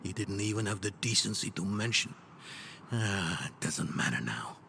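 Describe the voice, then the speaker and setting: An actor playing a weary, sardonic older scholar in a video game, with a gravelly, grumbling delivery. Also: male voice, fairly low pitch, big pitch swings, moderate pace, sharp tone, slight background noise, heavily acted. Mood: bitter, disappointed. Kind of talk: dramatic video game acting. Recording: game audio with music underneath.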